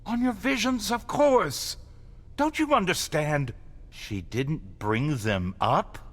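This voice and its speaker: A man's voice: fairly high pitch, animated, takes it slowly, a bit bright, full character voice. A man playing a grave, controlling vampire caretaker in a dramatic game cutscene, explaining things with solemn insistence. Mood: persuasive, firm. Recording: faint music behind the voice.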